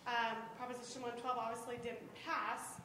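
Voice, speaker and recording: A woman from Oklahoma asks a panel a question in a chatty, hesitant way, with plenty of fillers. Her voice is a little higher than most. The hall echoes around her and clear background noise runs under her voice. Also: flat delivery, moderate pace, pushed and bright.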